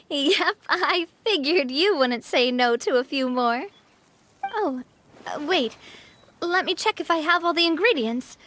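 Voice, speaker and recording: A woman playing a gracious, upbeat young female boss in a video game, speaking in a polite, friendly and expressive way. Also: high-pitched, slow pace, exaggerated voice. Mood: playful, inviting. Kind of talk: animated video game voice acting. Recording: soft game music behind.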